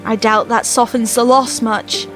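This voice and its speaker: A woman acting in an audio drama as a plucky, warm-hearted companion to an adventurer, earnest and expressive. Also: fairly high pitch, a little slow. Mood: horrified, concerned. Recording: music and rain under the voice.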